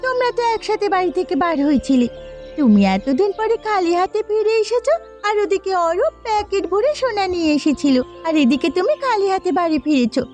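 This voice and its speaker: A woman voicing a sharp-tongued, nagging young village wife in a melodramatic cartoon. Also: extremely high pitch, very animated, a little fast. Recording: music behind the voice.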